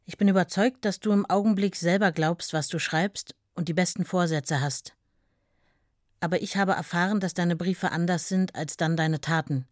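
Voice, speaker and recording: An actress playing an estranged wife who reads her letters aloud in a measured, restrained, earnest way. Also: lowish voice, no room sound, studio quality, close to an everyday voice.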